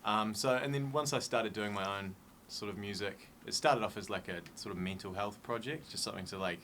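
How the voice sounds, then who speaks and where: A young man with a New Zealand accent, a band leader chatting casually on radio, relaxed and laughing easily. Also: fairly low pitch, a little fast, a little hiss or hum. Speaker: band leader, guest musician. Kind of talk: casual interview chat. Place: radio studio.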